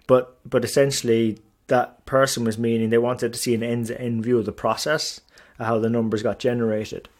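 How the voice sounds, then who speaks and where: A man's voice: mid-range voice, little pitch movement, normal speed. A middle-aged man from Ireland and England, hosting a solo podcast in a chatty, self-deprecating way with many fillers and restarts. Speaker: podcast host.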